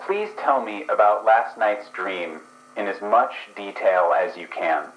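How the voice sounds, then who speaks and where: A man's voice: on the low side, expressive, measured pace, a bit bright, roomy sound, poor audio. A man voicing a hesitant, procedural dream investigator who stumbles over his words in an actual play podcast. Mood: formal.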